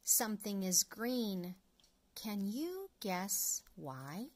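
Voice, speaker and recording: A middle-aged woman reading an early reader picture book aloud to young children, phrase by phrase in a deliberate way. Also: mid-range voice, very animated, a little mellow. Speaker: storyteller, children's read-along host.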